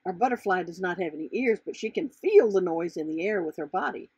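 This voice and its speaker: A woman's voice: fairly low pitch, expressive, fairly quick, darkish tone, breathy edge, no room sound. A woman narrating a children's storybook as its author, reading from the script.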